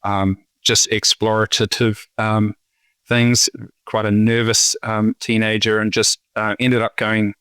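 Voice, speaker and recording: A New Zealand man in his forties, a winemaker talking casually in an interview, halting with many fillers and restarts. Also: fairly low pitch, flat delivery, a bit bright, smooth, no echo. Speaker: winemaker guest.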